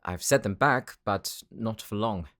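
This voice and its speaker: A man's voice: on the low side, a little slow, a bit bright. A man playing a self-assured, businesslike weapons dealer in an anime audio drama, cool, formal and articulate. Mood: determined, confident.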